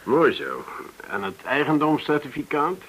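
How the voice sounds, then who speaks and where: A man's voice: a little high, big pitch swings, measured pace, fairly bright tone, slight background noise, low-quality recording. An actor in a Dutch radio play playing a cautious, probing businessman, considering things with murmured acknowledgements. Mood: businesslike. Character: businesslike male gold-buying client, cautious and probing.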